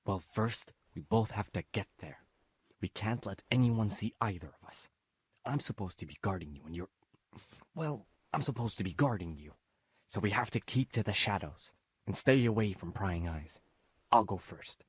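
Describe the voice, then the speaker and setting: An actor playing a shifty, scheming camp guard in a video game, with a raspy voice. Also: male voice, fairly low pitch, expressive, normal speed, dry recording. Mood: conspiratorial, instructing. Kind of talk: video game cutscene acting.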